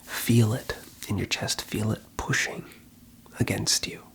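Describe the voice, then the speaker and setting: An ASMR host whispering in a breathy voice, giving reassuring, unhurried advice as a call centre phone counsellor. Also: medium pitch, fairly even intonation, fairly bright tone, poor audio.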